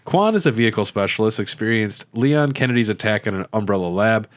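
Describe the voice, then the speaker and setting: A man's voice: on the low side, lively intonation, a little fast. A man co-hosting a podcast, giving a casual comedic recap partly read from notes, with crude jokes. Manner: joking, crude humor. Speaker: podcast co-host.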